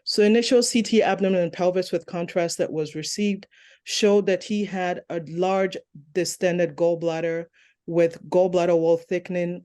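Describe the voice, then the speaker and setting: An endoscopy fellow presenting a medical case in a steady, clinical delivery. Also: female voice, on the low side.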